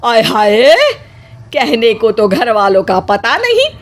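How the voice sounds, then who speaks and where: A woman's voice: a little high, sing-song, slow pace. A woman playing a greedy, stern and suspicious older mother-in-law in a comic story, with theatrical scolding and exclamations. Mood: suspicious, sarcastic. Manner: scolding, exaggerated exclamations, sarcastic. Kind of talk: Hindi comic story acting.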